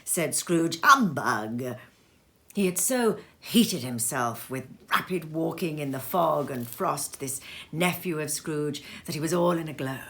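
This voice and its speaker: Slow, expressive woman reading a story. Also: low voice. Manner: slow, expressive, dips into hushed whispers. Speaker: narrator reading a classic novel aloud. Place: sitting room at home.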